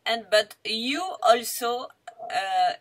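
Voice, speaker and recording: A woman's voice: fairly high pitch, takes it slowly. A woman interviewer asking probing questions over a video call.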